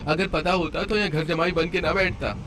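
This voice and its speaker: A man's voice: a little high, fairly even intonation, moderate pace, a bit bright, lightly acted. A man voicing an arrogant, short-tempered, sarcastic son-in-law who whines and complains in a cartoon story. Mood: bitter, sarcastic. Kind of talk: Hindi cartoon story dialogue. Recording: clear background noise under voice.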